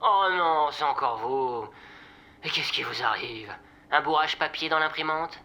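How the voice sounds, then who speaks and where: A woman's voice: low-pitched, a little slow, bright, forceful tone, full character voice. A woman playing a sarcastic, brusque, fed-up helpline operator in a comic audio drama. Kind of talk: audio drama comedy acting.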